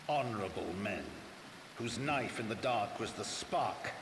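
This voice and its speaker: An actor playing a grave, ominous storyteller, delivering measured, theatrical narration for a fantasy game cutscene. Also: male voice, on the low side, lively intonation. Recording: echoey, distant game audio with rain noise.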